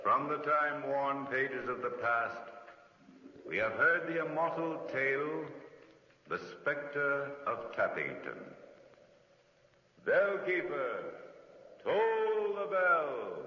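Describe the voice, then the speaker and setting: An actor playing a solemn, ominous storyteller hosting a 1940s radio drama. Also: male voice, mid-range voice, sing-song, slow pace, long pauses. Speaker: host. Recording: muffled, echoing, hissy old radio recording.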